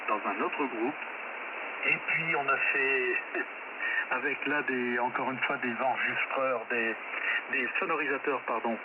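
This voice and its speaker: Elderly Frenchman on noisy shortwave radio. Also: medium pitch. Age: 70s. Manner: rambling, hesitant, with frequent pauses and self-corrections. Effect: shortwave radio transmission. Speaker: amateur radio operator. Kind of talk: casual radio chat. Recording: narrow-band shortwave radio with loud static.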